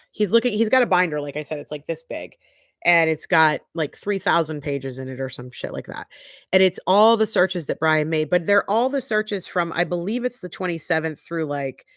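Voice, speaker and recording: A woman's voice: on the low side, no room sound, clean studio sound. A woman with a stuffy, sniffly nose hosting a casual livestream recap, chatty and bluntly opinionated, with lots of fillers. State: congested, sniffly. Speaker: livestream host. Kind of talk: casual chat, trial recap. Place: home livestream.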